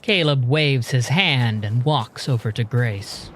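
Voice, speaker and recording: A man narrating a scripted audio drama in a measured, descriptive manner. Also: mid-range voice, fairly bright tone, slight background noise. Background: music.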